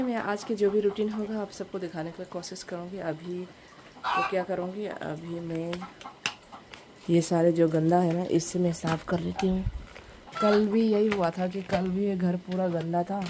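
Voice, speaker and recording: A woman's voice: fairly low pitch, steady tone, a little slow. A woman with a Northeast Indian accent chatting casually and informally to viewers in a home vlog. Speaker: vlogger. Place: village home, indoors and out in the yard. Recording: noisy handheld home vlog recording.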